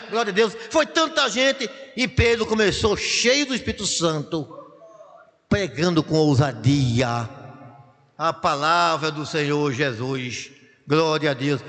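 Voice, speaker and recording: High-voiced man preaching in a reverberant church. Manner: impassioned preaching, rising to shouts, with repeated refrains. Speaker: pastor. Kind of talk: Pentecostal sermon.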